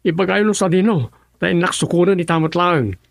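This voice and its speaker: A man's voice: high-pitched, a little fast, vocal fry, dry recording, clean studio sound. A man with an Ilocano accent playing a distraught husband searching for his wife in a radio drama, agitated and questioning. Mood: distressed.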